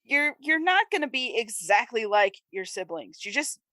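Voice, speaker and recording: A woman's voice: on the high side, very animated, moderate pace, bright, forceful tone, dry recording. A woman co-hosting a casual fan podcast, talking conversationally and acting out imagined quotes. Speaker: podcast co-host.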